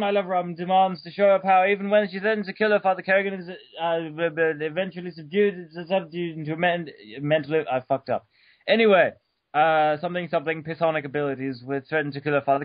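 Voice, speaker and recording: A man's voice: sits high, no echo. A man giving an over-the-top dramatic reading of an article, stumbling over words and breaking off for joking asides, on a dull lo-fi home recording. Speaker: reader. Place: room at home.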